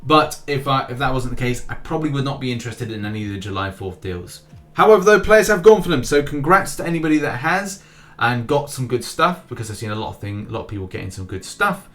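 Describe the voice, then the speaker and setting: A man's voice: mid-range voice, sing-song, fast, fairly bright tone. Chatty man hosting gaming news. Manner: chatty, restarts phrases, reads out figures. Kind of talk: casual gaming news roundup.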